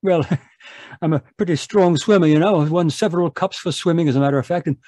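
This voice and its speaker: Man acting a husky, high-voiced, bumbling gentleman doctor. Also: quick pace, dry recording, clean studio sound, natural voice. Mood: chatty, sheepish. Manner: bumbling, hesitant, proper. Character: genial, rambling, fussy gentleman doctor narrating his adventure. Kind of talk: 1940s radio mystery acting. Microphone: video call. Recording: live performance over video call.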